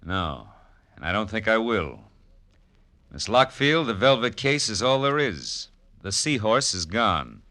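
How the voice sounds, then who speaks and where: A man's voice: fairly low pitch, very animated, a little slow, bright, forceful tone, slight background noise, heavily acted. An actor playing a tough, wry male private detective in a vintage radio drama, clipped and casually probing. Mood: grim. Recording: old radio drama recording.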